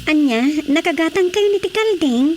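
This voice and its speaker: High-voiced nosy Ilocano village woman. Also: lively intonation, normal speed, darkish tone, faint music behind. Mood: surprised, opinionated. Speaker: radio drama actor. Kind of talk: comic Ilocano radio drama acting.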